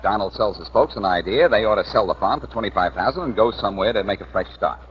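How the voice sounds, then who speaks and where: An actor playing a confident, assertive amateur sleuth explaining a case in a 1940s radio drama. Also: male voice, on the low side, fast, lightly acted. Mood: confident, determined. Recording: muffled, slightly noisy old radio recording.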